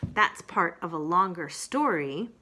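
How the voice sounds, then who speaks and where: A woman working as a children's storyteller, chatting casually to young listeners and introducing a storybook. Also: lowish voice. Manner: animated, unhurried storytelling.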